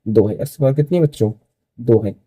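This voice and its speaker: A young man in his early twenties with a very dark, soft-edged tone and a close, dry sound. He teaches maths step by step at a medium pitch. He repeats key phrases and keeps posing questions, then answers them himself. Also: brisk, husky, dry recording. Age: early 20s. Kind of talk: step-by-step school maths lesson.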